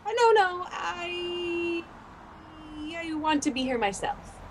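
A woman's voice: extremely high pitch, animated, slow, noisy background, full character voice. A woman playing a lovesick, anxious, clinging young woman with a hesitant, fervent manner in a classic radio suspense play. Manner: hesitant, repeating words, fervent.

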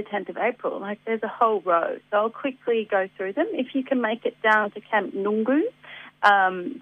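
An Australian woman with a slightly gruff voice from a head cold, chatting on community radio about local events with many ums and easy laughs. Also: fairly high pitch. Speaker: environment centre guest on community radio. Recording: dry tinny phone line.

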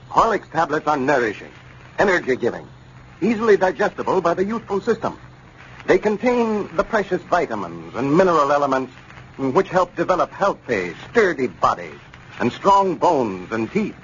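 A man's voice: fairly high pitch, fairly bright tone. An American man announcing on 1930s radio in a polished, emphatic, scripted delivery. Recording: muffled, noisy 1930s radio recording.

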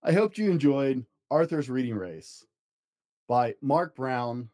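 A man narrating a children's storybook as a podcast host, in an expressive, encouraging way. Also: medium pitch, slow pace. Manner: expressive, encouraging address to young listeners. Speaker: podcast host reading children's books aloud.

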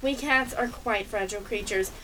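A woman's voice: medium pitch, normal speed, fairly bright tone, close to an everyday voice. A woman voicing a sassy, mean pet cat with a sarcastic, haughty manner in an amateur radio comedy. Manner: sarcastic, haughty, reading from a script. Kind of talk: amateur old-time radio comedy drama. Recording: distant mic with faint background noise.

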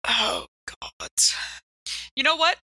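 A woman's voice: sits high, expressive, slow, sharp tone, dry recording, studio quality. A woman playing in an improvised tabletop role-playing show, conversational and thinking aloud. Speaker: player in a role-playing game. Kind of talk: casual improvised tabletop actual play.

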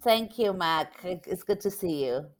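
Female podcast guest from Argentina, native Spanish speaker, notably low voice, conversational. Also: expressive, measured pace. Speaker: podcast guest, interpreter and business owner. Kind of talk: conversational interview answers.

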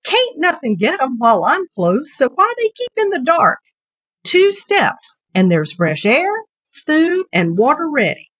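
A woman's voice: sits high, wildly swooping pitch, measured pace, fairly bright tone, no echo, muffled recording, voice pushed up, exaggerated voice. A woman voicing a kindly, folksy old henkeeper with rural turns of phrase in a short story reading. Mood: amused exasperation.

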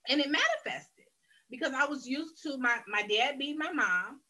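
A woman tells her own story on a faith interview show. Her voice is fairly high and bright, and she speaks earnestly and candidly, often circling back to restart a phrase. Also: lively intonation, normal speed. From Detroit, Michigan, USA. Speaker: guest sharing her life story. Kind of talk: personal testimony in a faith interview.

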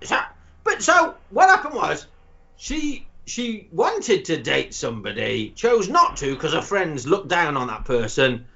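Lively high-pitched gravelly man. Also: unhurried. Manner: rambling, stammering, sudden shouty outbursts. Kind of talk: crude comedic chat. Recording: slightly muffled with faint noise.

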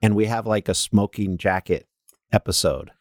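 A man's voice: lowish voice, normal speed, no room sound, studio quality. A man co-hosting a podcast in casual, slangy banter. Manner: informal, slangy. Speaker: podcast co-host.

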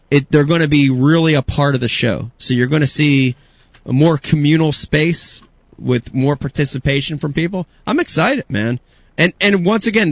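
A man hosting a casual podcast, playful and hyped, with bits of announcer-style shouting. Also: fairly high pitch, no room sound. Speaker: host. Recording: dull dry lo-fi sound.

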